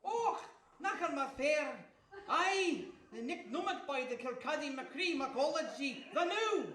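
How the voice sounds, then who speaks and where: In an echoey room, a cheeky Scottish seagull played by a woman scolds and advises in a comic story. The accent is laid on thick and the highish voice swings up and down with bossy, teasing confidence. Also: animated, measured pace, background noise. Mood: boisterous. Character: pushy small talking seagull, self-appointed voice of reason. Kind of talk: comic audio story acting.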